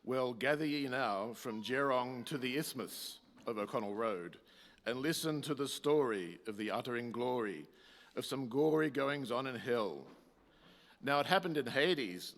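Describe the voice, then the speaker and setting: A man narrates a rhymed radio play as its announcer. His mid-pitched voice is declamatory and theatrical, carried by the long reverb of a hall. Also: expressive, a little slow, fairly bright tone, slight background noise. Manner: declamatory, storytelling. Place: community hall, live performance.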